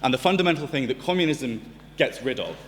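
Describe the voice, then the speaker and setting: A man giving a measured, formal debate speech with frequent ums and uhs. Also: mid-range voice, lively intonation, slight background noise. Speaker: debate speaker. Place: large debating chamber. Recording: reverberant debating chamber with audience.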